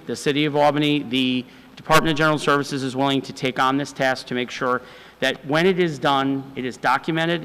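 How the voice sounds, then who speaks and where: A man with a loud voice, a city department official, explaining a proposal to the council in a repetitive, explanatory way. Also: mid-range voice, smooth, long reverb tail, rough recording. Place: council chamber.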